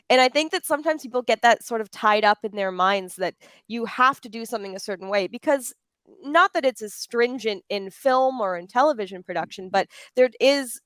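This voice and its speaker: A woman's voice: medium pitch, a bit bright, smooth, no echo. A woman moderating a panel discussion in a conversational, unscripted way.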